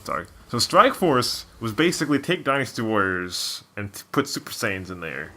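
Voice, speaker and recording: A man's voice: fairly low pitch, big pitch swings, moderate pace, pushed and bright. A man doing casual gameplay commentary as a co-host, rambling and opinionated like a devoted fan. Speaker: gaming video co-host.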